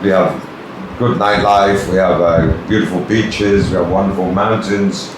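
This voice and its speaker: Deep-voiced older Scottish man, rambling. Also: measured pace, recorded from a distance. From born in Kenya, raised in Tanzania. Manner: relaxed, rambling storyteller with many fillers. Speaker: interview guest. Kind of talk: casual interview chat. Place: office with large desk. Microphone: camera on desk tripod. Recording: echoey room with background noise.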